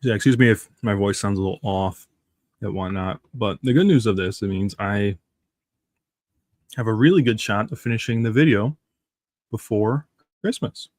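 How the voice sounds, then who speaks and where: A man's voice: lowish voice, moderate pace, pauses often, darkish tone, no room sound, clean studio sound. A man with a stuffy nose from the flu, chatting casually and rambling on a live stream. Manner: rambling, chatty, reads comics aloud.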